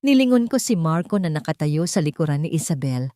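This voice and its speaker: A woman narrating a dramatic first-person story in an emotive, sorrowful, measured way. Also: sits low, big pitch swings, mellow, dry recording, studio quality.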